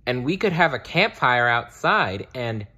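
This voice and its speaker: A man's voice: medium pitch, sing-song, unhurried, bright, forceful tone, voice pushed up, full character voice. A man voicing an eager, friendly young turtle child in a children's storybook, full of enthusiasm. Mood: eager planning.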